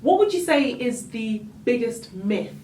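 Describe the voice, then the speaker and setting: An interview host asks questions and keeps the guest going with brief, encouraging interjections. The pitch is mid-range. The room echoes and the voice is picked up from a little way off. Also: sing-song, unhurried, a little mellow, slight background noise. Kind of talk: casual interview. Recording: echoey room, mic at a distance.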